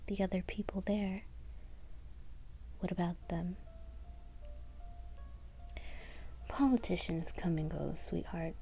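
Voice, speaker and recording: A woman acts out a scheming mafia femme fatale in an audio roleplay. She speaks in a low, dark, breathy half-whisper, close to the mic, drawing her words out slowly with teasing, cold-blooded poise. Music plays faintly behind her. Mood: callous, persuasive. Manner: slow, teasing, coolly callous. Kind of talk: intimate whispered roleplay acting.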